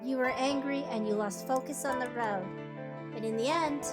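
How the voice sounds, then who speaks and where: A wise female guide played by a woman in a visual novel, speaking in a fairly high voice. She is measured and firm, consoling but blunt, with music clearly behind her. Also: lightly acted. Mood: firm, reassuring. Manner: measured, counselling. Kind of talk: dramatic visual novel reading.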